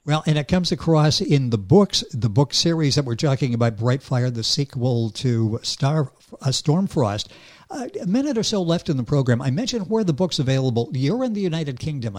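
A man's voice: medium pitch, expressive, a little fast, a little mellow. A man hosting a radio program, interviewing a guest in a friendly, conversational way. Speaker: radio show host.

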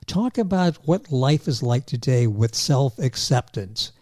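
Mellow-voiced older male host. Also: medium pitch, animated, a little slow, dry recording, studio quality. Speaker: talk show host. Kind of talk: interview hosting.

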